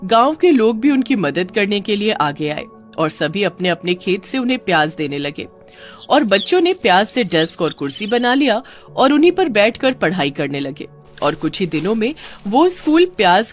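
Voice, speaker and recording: A woman's voice: medium pitch, very animated, moderate pace, faint music behind. A woman reading scripted narration for a children's moral story.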